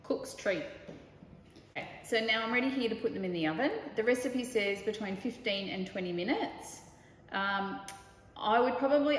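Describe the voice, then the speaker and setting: A woman's voice: medium pitch, distant mic, slight background noise. A woman, casually talking through a recipe as she cooks in a large home kitchen. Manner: chatty, relaxed, talks while working. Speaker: cooking show host. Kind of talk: casual cooking demonstration. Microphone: camera mic from a few metres. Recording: echoey kitchen, voice off-mic.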